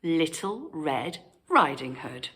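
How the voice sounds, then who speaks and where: A woman's voice: low voice, slow pace, husky. A British woman narrating a children's story expressively, speaking as if to young children. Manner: animated, addressing young children. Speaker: storytime reader.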